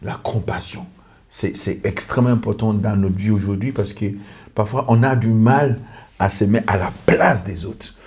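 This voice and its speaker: Earnest pastor. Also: male voice, lowish voice, moderate pace, a little mellow. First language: English. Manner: earnest, repetitive, lists in short clauses. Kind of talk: testimony in a podcast conversation.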